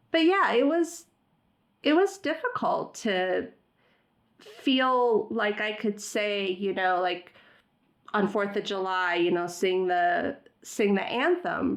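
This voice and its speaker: A middle-aged woman with a gravelly, creaky edge to her voice, speaking as an interview guest. Her first language is Farsi, and she talks thoughtfully, pausing on fillers and restarting sentences as she goes. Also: medium pitch. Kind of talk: conversational interview.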